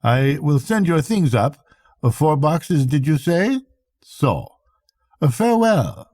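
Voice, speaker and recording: A man voicing a bitter, watchful Swedish steamer captain in a storybook reading, speaking precisely and deliberately. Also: on the high side, very animated, a little mellow, no room sound, clean studio sound, pitched above the performer's own voice, heavily acted. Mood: bitter, wry. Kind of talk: storybook character dialogue.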